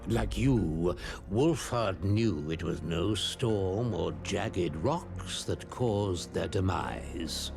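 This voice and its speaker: A man narrating a roleplay story in a grave, dramatic way, drawing out his words and dropping to hushed tones. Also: deep voice, animated, gravelly. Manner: grave, drawn-out, slipping into hushed near-whispers. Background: music.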